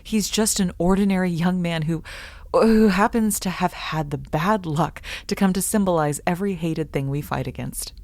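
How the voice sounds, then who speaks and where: An actress playing an earnest, disillusioned grown daughter who questions things, in an old-time radio drama. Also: female voice, fairly low pitch, normal speed, darkish tone, no echo, close to an everyday voice. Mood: guarded, defiant.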